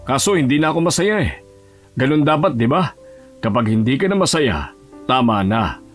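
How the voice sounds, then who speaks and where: A man voicing a gentle, regretful old grandfather who talks in a plain, fatherly way in an audio drama. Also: mid-range voice, sing-song, normal speed, faint music behind, close to an everyday voice. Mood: regretful, reflective. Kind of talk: Tagalog audio drama acting.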